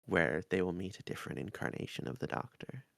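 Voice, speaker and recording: A man chats casually as a podcast co-host. His voice sits fairly low and crackles with fry. The recording is dry, with no sense of a room. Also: expressive, fairly quick, fairly bright tone, no room sound. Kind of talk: casual chat.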